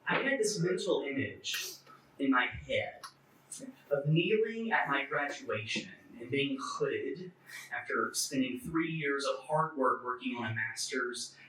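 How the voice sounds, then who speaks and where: Bright-voiced male preacher with a stutter, echoey room. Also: medium pitch, expressive, unhurried, a little hiss or hum. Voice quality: stutter, occasional broken fluency. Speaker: clergy member giving a talk. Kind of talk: personal testimony sermon at a church forum. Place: echoey indoor church forum space. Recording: echoey room, distant microphone.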